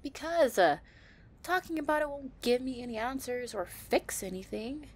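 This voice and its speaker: A woman's voice: high voice, lively intonation, normal speed, husky. A woman playing a tough but tender, anxious delinquent girlfriend in an intimate roleplay audio, murmuring breathily. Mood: frustrated.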